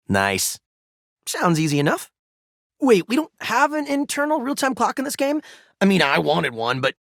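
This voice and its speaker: Goofy, hugely animated game developer. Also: male voice, sits high, dry recording, clean studio sound, exaggerated voice. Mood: teasing. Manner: playful, slangy. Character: goofy, good-natured male voice actor and game developer. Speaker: actor and game creator character. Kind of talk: casual video game voice acting.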